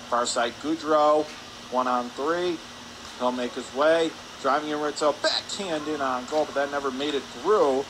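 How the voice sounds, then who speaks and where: Guy calling hockey on the radio, high voice, short punchy phrases, noisy arena. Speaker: play-by-play commentator. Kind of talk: live radio ice hockey play-by-play. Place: indoor ice hockey arena. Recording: noisy arena ambience behind.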